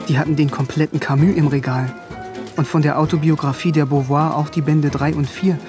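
A man giving wry, reflective first-person literary narration. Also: a little high. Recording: music under voice.

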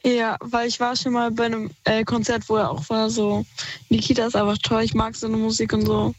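A woman's voice: fairly high pitch, flat delivery, fairly quick, dry recording, lo-fi sound. A woman calling in to a radio show on her phone, talking hesitantly with many fillers. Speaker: caller. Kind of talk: phone-in conversation. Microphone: phone held to ear.

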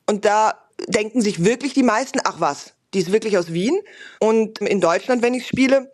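A woman's voice: mid-range voice, animated, moderate pace, darkish tone. A woman in her thirties with a light Viennese accent, talking reflectively in an interview. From Vienna, Austria. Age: 30s. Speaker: interviewed cabaret performer.

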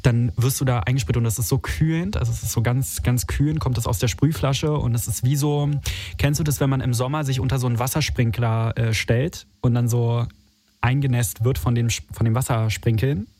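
Mellow young German man chatting casually. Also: mid-range voice, steady tone, brisk, faint music behind. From Berlin, Germany. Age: 20s. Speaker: interviewer leading a conversation. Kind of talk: casual interview chat.

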